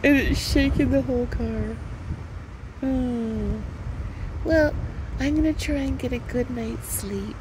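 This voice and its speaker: A woman talking casually to a handheld phone camera from inside a small camper van, chatty and relaxed with easy laughs. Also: fairly high pitch, takes it slowly, long pauses, background noise. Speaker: van life vlogger. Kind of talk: casual vlog narration.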